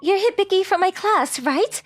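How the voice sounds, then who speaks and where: A woman's voice: very high-pitched, animated, normal speed, faint music behind. A woman voicing a polite, shy teenage rich girl in an anime-style dub, with very formal, hesitant phrasing. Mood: shy politeness.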